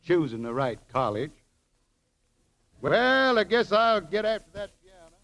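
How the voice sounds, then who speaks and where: A man's voice: high voice, big pitch swings, unhurried, fairly bright tone, dull audio, heavily acted. An actor playing a mild, awkward ex-soldier handyman in an old-time radio comedy, hesitant, folksy and earnest.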